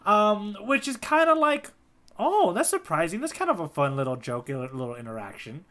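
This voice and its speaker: Man, podcast co-host, bright voice, slightly high pitch, casual jokey rambling. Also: sing-song, moderate pace. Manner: jokey, rambling, laughs at his own puns. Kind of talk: casual chat.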